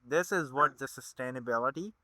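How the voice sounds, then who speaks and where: A man hosting a podcast interview, effusive and quick to exclaim his enthusiasm. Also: medium pitch, pushed and bright, dry recording. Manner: effusive, exclaims wow often. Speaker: podcast host.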